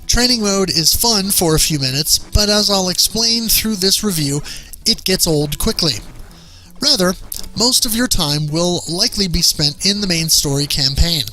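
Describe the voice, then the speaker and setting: A man with a fairly high, bright and forceful voice reads a scripted game review. His delivery is measured and theatrical, like a formal dramatic reading. Faint music plays behind him. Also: rough recording. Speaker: video game review host. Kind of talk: scripted narration.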